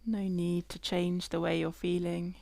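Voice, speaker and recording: A woman leading a guided body scan meditation in a gentle, unhurried way, leaving long pauses between phrases. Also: lowish voice, little pitch movement, creaky, dry recording.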